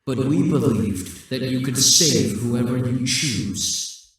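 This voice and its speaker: A man playing a proud, dominant demon king in an ASMR roleplay, speaking in a grandiose, deliberate, seductive way. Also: mid-range voice, heavy reverb, distant mic. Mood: persuasive, coaxing. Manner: grandiose, deliberate, coaxing and menacing.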